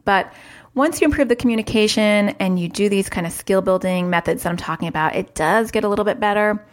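A woman hosting a solo podcast, talking in a chatty, upbeat way with lively jumps in pitch. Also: a little fast. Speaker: podcast host, parent.

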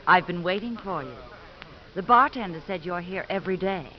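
An actress playing a brazen, scheming ex-wife in a 1950s radio drama. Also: female voice, on the low side, fairly quick, close to an everyday voice. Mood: coy. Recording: muffled, noisy 1950s radio recording.

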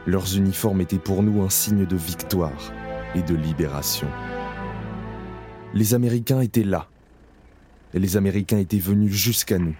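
A man narrating an audio drama in a slow, solemn, measured way, with a low grave voice. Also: warm tone. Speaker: narrator playing Isaac, camp prisoner. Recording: clear music bed under voice. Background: music.

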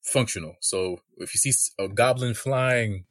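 A man joins a podcast as a guest and talks in an easygoing way, laughing between his points. His voice is fairly low, and the recording is dry and close. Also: normal speed, dry recording. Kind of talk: casual chat.

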